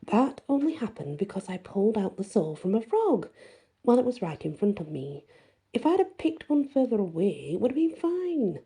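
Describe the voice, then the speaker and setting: A woman's voice: medium pitch, very animated, normal speed, mellow, slightly rough, pitched above the performer's own voice, heavily acted. A woman voicing a cocky, mischievous young male spellcaster in a fantasy story, with a teasing, flippant delivery. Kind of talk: fantasy audiobook dialogue.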